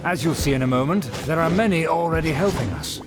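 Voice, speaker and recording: A man's voice: fairly high pitch, fast talker, close to an everyday voice. An actor playing a haughty, pompous nobleman in a video game, speaking in a formal, grand manner. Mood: gracious. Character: pompous haughty aristocrat, proud of his noble clan. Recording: music playing behind the voice.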